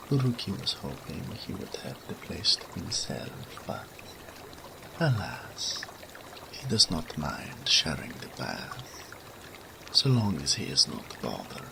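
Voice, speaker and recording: A man playing a suave, flirtatious naga oracle in a roleplay audio drama, formal and languid, purring and sometimes breathy, speaking of himself in the third person. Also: sits low, full character voice. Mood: mildly resigned. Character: suave, flirtatious male naga oracle, self-assured and teasing. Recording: steady water and ambience noise.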